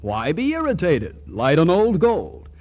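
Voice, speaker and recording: Male announcer for vintage radio commercial: jovial, punchy, lively intonation on the higher side. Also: measured pace, highs cut off. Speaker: announcer pitching a cigarette sponsor. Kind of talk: 1940s radio advertising patter.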